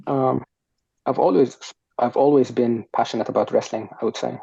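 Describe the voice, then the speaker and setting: A young man from Sudan speaks as a podcast guest, soft-spoken and a little hesitant. His voice is gravelly and dark in tone. It comes through a dull, lo-fi video call headset, dry and close. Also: mid-range voice, steady tone, moderate pace, no echo. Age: young adult. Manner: soft-spoken, thoughtful, hesitant with many fillers. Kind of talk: podcast interview answers. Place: home room, sitting on a sofa.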